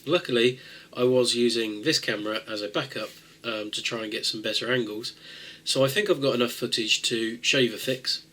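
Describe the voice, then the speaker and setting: A man's voice: mid-range voice, fairly even intonation, brisk, sharp tone. A man with a cold and a nasal voice, talking viewers through a tech fix in a rambling, casual way with lots of fillers. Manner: rambling with many fillers and restarts. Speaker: tech video host. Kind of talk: casual how-to talk-through.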